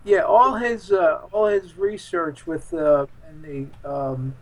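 Higher-pitched, halting man interviewed. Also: fairly even intonation, low-quality recording. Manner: halting, frequent repeats and hesitations. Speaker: interview guest. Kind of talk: casual reminiscing interview talk.

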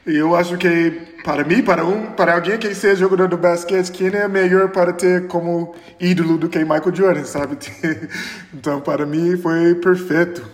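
In an echoey, reverberant room, an American man of forty reminisces as a podcast guest. His voice is fairly high, and as a native English speaker he phrases things haltingly, with non-native grammar. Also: fairly even intonation. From Chicago, United States. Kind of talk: casual recollection in interview.

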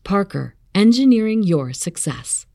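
A woman's voice: medium pitch, big pitch swings, measured pace, noisy background. A woman reading a scripted corporate advertisement as announcer.

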